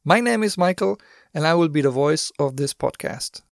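A German-accented man hosts a business podcast, his voice a little higher than most men's and gravelly with fry. He explains things in a friendly way and turns to the listener now and then, and the dry recording puts him close to the mic. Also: lively intonation, moderate pace, no room sound. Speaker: podcast host. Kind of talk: scripted podcast monologue.

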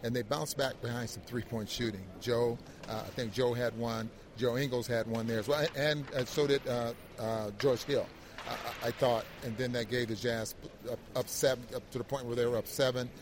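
Guy doing basketball postgame analysis, medium pitch, kinda halting, faint noise behind him. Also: steady tone. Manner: halting, repeats words as he thinks. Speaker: sports analyst, co-host.

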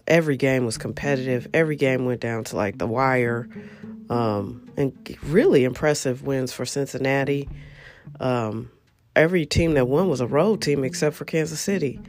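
Congested woman chatting about football. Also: extremely low pitch. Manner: chatty, rambling, emphatic. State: congested, coughing. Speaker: podcast host. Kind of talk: casual solo podcast chat about football.

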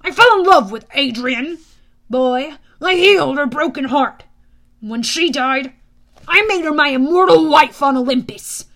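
A woman voicing a haughty, bitter, menacing male wine god in an audiobook, with a sneering, deliberate, pompous delivery. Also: high-pitched, animated, fairly bright tone, voice pushed up, full character voice. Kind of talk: audiobook character voice.

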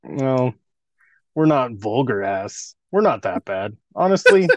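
A man in his thirties co-hosting a podcast, unhurried and drawn out in his delivery. He teases his co-host with crude jokes and laughs a lot. His voice sounds close and dry, with no room around it. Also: mid-range voice, sing-song, darkish tone, no room sound. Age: 30s. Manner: joking, crude teasing, laughs often. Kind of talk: casual banter podcast.

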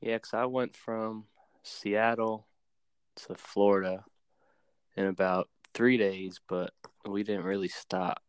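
A man hosting a podcast in casual chat, relaxed and loose, with plenty of fillers and restarts. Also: on the low side, slow pace, clear, resonant tone, no echo. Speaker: podcast host.

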